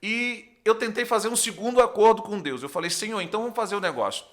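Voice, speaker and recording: A man's voice: high voice, fast. A young man with a northeastern Brazilian accent, a pastor and podcast guest, giving a conversational testimony and acting out dialogue as he tells it. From Recife, northeastern Brazil. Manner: animated storyteller, acts out dialogue, often checks with "né".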